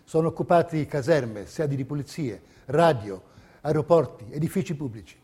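A man narrating a documentary theatre piece, reading a script in measured, carefully separated phrases. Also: fairly high pitch, lively intonation, a little mellow, breathy edge.

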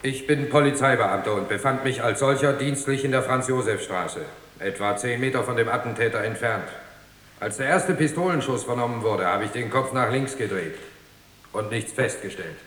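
An actor playing an aggrieved, officious police officer who testifies formally and precisely in a radio drama. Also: male voice, mid-range voice, normal speed, fairly bright tone, natural voice. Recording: echoey hall with long reverb.

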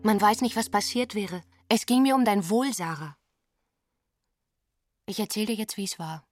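An intense, wistful woman played by an actress confides in a radio drama monologue. She speaks at a middling pitch in short, theatrical phrases, lingering on words, with soft music underneath. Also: animated, moderate pace, no room sound, lightly acted. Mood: wistful, bitter.

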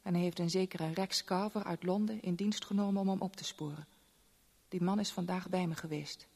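An actress playing a proud, bitter young rich woman, speaking in a measured, cold, solemn way in a 1970s radio play. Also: fairly low pitch, fairly even intonation, vocal fry, a little mellow. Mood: cold, restrained. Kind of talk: 1970s Dutch radio play letter monologue. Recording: long reverb on old radio drama.

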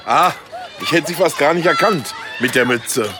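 A man's voice: on the high side, normal speed, crowd noise, noisy background, close to an everyday voice. A man playing a brash, breezy boss running for office in a satirical radio play, blithely reassuring.

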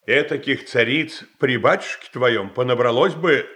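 An actor playing a blunt, grumbling courtier in a radio tragedy, folksy, stubborn and sneering. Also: male voice, mid-range voice, unhurried, pushed and bright, echoey room. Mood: scornful. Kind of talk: Russian stage tragedy as radio play.

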